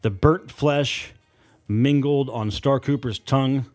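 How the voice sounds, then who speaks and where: Guy reading a horror audiobook, medium pitch, dramatic and deliberate with pauses for effect. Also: a little mellow, faint music behind. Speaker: audiobook narrator and author. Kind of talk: scripted horror audiobook narration.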